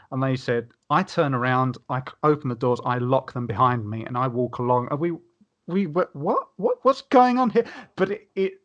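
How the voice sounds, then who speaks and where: A man in a casual podcast discussion, telling wordy anecdotes with emphasis. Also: mid-range voice.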